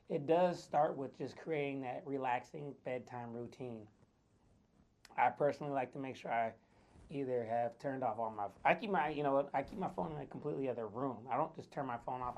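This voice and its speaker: A man with a raspy voice, tired from too little sleep, talking casually to viewers with lots of fillers. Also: mid-range voice, darkish tone. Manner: chatty, loose, lots of fillers. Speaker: wellness vlogger. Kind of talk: casual talk to camera.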